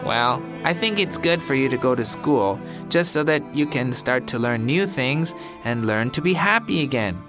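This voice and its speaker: A man's voice: mid-range voice, expressive, moderate pace, few pauses, over music, pitched above the performer's own voice, lightly acted. A man voicing a kind, helpful young girl who comforts her friend. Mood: comforting. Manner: gentle and reassuring.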